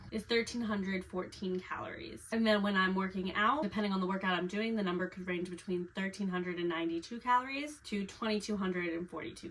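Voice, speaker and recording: A woman's voice: mid-range voice, fairly bright tone, rough recording. A woman personal trainer talking in a chatty, upbeat vlog style, explaining things step by step and reading numbers off a screen. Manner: reads figures off a screen. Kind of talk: casual fitness vlog talk.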